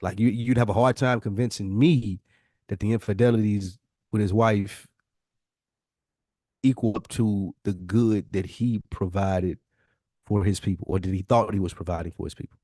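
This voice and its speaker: An American man co-hosts a casual podcast in a fairly deep voice. He is plainspoken and blunt, often restating a phrase to drive it home. The recording is dry and free of echo. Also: steady tone, moderate pace, warm tone. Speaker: podcast co-host. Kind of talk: casual discussion.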